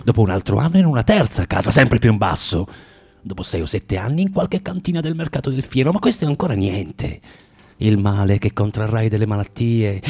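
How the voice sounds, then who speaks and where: An actor playing a bitter, self-loathing drinker who moralizes haltingly and insistently in a radio drama. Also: male voice, medium pitch, a little mellow, husky. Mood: grim, insistent. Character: bitter, preachy, self-loathing male drinker lecturing a young prostitute.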